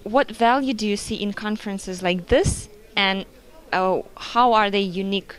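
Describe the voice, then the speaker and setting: A woman's voice: mid-range voice, unhurried, fairly bright tone, poor audio. A woman interviewer politely putting questions to a conference speaker.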